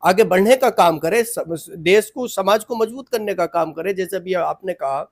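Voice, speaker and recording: A man's voice: sits high, normal speed, no room sound. A man speaking as a community advocate in an interview, earnest and emphatic, repeating phrases to press his point.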